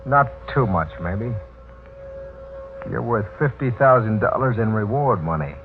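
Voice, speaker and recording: An actor playing a wary, sly drifter from a hobo camp in a 1940s radio mystery. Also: male voice, fairly low pitch, expressive, unhurried, faint music behind. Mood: startled, sly. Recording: thin, phone-like 1940s radio recording.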